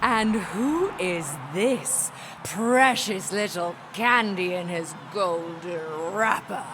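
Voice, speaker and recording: A woman's voice: mid-range voice, slow pace, background noise, natural voice. A woman playing a fierce, haughty alien warrior queen in a video game, commanding and theatrical. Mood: proud, teasing. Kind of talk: video game cutscene acting.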